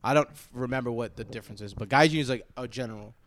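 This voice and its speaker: A man's voice: mid-range voice, racing. A young man co-hosting a casual podcast, teasing and swearing freely. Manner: swears a lot and laughs often, teasing. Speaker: podcast co-host. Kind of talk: casual chat.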